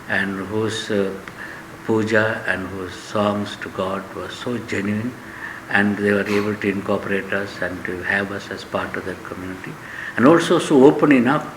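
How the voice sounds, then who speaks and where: An older man from Sri Lanka with a Sri Lankan accent, a professor speaking earnestly and in measured phrases with frequent pauses, lecturing and reflecting on his own life in a classroom. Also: on the low side, fairly bright tone, a little hiss or hum, poor audio.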